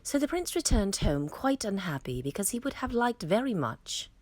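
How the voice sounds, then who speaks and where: A woman reading a fairy tale aloud as a storytime reader, in an expressive, dramatic manner. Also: mid-range voice, moderate pace, dry recording. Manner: dramatic storytelling with emphatic swells.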